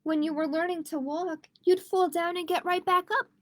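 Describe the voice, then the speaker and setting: A woman reading aloud as a gently questioning father in a children's picture book. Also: extremely high pitch, fairly even intonation, moderate pace, no echo, clean studio sound, voice pushed up. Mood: gentle, questioning.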